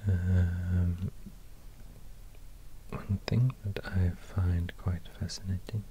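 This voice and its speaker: Whispering low-voiced Swedish-accented man. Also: flat delivery, extremely slow, highs cut off, noisy background. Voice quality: breathy, near-whispered. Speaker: ASMR video host. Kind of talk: soft-spoken ASMR, casual musing while drawing. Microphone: close binaural microphone.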